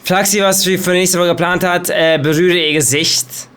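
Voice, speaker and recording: A man's voice: sits high, fairly even intonation, fairly bright tone, smooth. A man commenting playfully on a game while reading out the choices. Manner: quick, joking, reads choices aloud. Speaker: gaming YouTuber. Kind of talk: let's play commentary.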